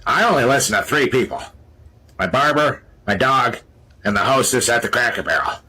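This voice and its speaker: A man with a raspy voice delivering a comedic monologue. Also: medium pitch, expressive, moderate pace, bright, forceful tone, low-quality recording.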